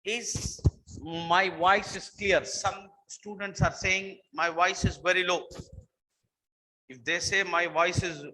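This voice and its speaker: High-voiced Indian man teaching botany. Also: steady tone, takes it slowly, bright, forceful tone, long reverb tail, rough recording. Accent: Indian English. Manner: repetitive, questioning students, mixes in Hindi. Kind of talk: online botany lesson.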